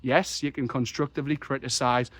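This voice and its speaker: A young man, croaky after a chest infection, talking passionately and rambling through a football match reaction. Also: medium pitch, flat delivery, brisk, dry recording. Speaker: football fan vlogger. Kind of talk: excited solo match reaction.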